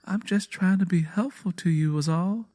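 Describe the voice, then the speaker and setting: Man voicing a gentle, earnest Southern woman. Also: high voice, normal speed, pitched above the performer's own voice, lightly acted. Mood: gentle, concerned. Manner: soft, pleading, sweet.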